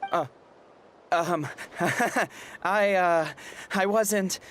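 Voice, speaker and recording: A woman playing a nervous, starstruck young woman in a video game, stammering and halting. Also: fairly low pitch, slight background noise. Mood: flustered, nervous.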